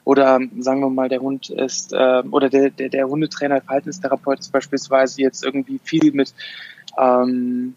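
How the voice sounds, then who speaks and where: A man's voice: medium pitch, completely flat delivery, moderate pace, a little mellow, muffled recording. A man in his thirties, a dog behavior therapist, answering interview questions with many restarts and fillers. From Afghanistan.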